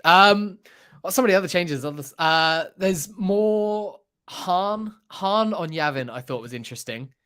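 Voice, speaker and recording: Slightly high pitched guy hosting a podcast, chatting casually, kinda hesitant, thinking out loud. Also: expressive, a little slow, clean tone. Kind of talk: casual pop-culture discussion.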